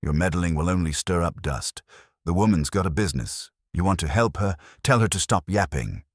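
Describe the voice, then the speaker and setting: A man voicing a gruff, irritable, weary police inspector in a detective audiobook, blunt, clipped and bossy. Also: low-pitched, lively intonation, fairly quick, no echo, clean studio sound. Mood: irritated, blustering.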